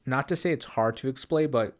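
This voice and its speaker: Young Canadian podcast co-host, wry and rambling, quick to laugh. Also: male voice, medium pitch. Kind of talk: casual chat.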